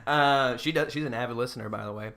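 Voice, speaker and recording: A man's voice: medium pitch, fast talker, vocal fry, fairly bright tone. A man in his twenties chatting casually and playfully as a comedy podcast co-host. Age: 20s. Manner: jokey, playful.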